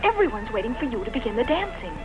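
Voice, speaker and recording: Actress as wistful young handmaiden, gushing, high pitch, film dub, muffled lo-fi sound. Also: female voice, sing-song, fast talker, music in the background. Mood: eager, fretful. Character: eager, wistful young handmaiden, girlish and smitten. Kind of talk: dubbed costume-film acting. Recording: dull lo-fi old film soundtrack.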